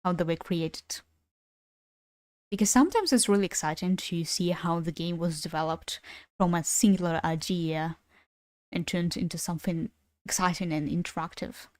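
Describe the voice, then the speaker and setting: A woman's voice: on the low side, moderate pace, a little mellow, no echo, studio quality. Chatty young woman streamer, Ukrainian native. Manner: chatty, swears casually, laughs between thoughts. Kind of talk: casual gaming stream chat.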